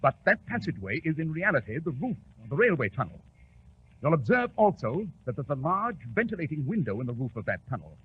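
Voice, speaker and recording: Commanding detective on tinny old radio. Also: male voice, a little high, big pitch swings, fairly quick, exaggerated voice. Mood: confident, explaining. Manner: crisp, authoritative, theatrical. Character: brisk, commanding, self-assured male detective. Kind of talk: 1940s radio drama acting. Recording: tinny, noisy 1940s radio recording.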